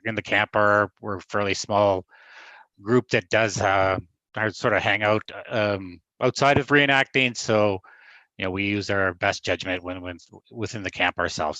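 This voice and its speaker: A man's voice: sits low, pushed and bright, no room sound. A man and unit captain giving an easygoing, rambling report on a muffled call. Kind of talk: casual online meeting report.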